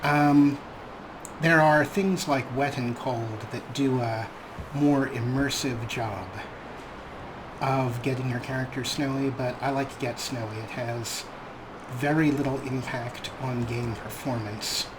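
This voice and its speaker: Man doing game commentary over clear noise. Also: mid-range voice, steady tone, takes it slowly. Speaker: let's play host. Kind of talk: casual gameplay commentary.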